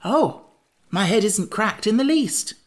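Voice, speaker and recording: A woman's voice: on the low side, animated, slow, voice pushed up. A woman voicing an anxious, simple and polite male pumpkin-headed figure in a storybook, earnest and worried. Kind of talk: audiobook character voice.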